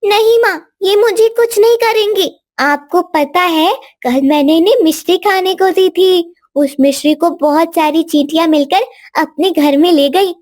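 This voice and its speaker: A woman voicing an innocent, gentle little princess in a cartoon, speaking in an earnest, childlike way. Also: very high voice, a little slow, hardly any pauses, voice pushed up.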